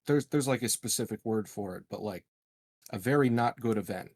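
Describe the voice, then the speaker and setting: A man's voice: on the low side, fairly quick, darkish tone. A man playing a tabletop roleplaying game, casually arguing his case as a player. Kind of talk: casual game strategy discussion.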